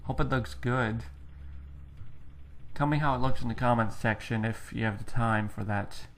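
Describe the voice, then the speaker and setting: Young male let's-player, mid-pitched, chatty and self-deprecating, headset mic. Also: moderate pace, a little hiss or hum. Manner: rambling, self-deprecating, explains as he plays. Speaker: let's play gamer. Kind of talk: casual gameplay commentary.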